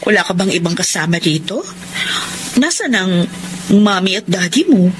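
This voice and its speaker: A woman's voice: mid-range voice, very animated, moderate pace, close to an everyday voice. An actress with a Filipino accent playing a polite, earnest young woman tutor in a radio drama. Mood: cheerful, tender. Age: young adult. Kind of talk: Tagalog radio drama acting. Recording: noisy radio drama broadcast.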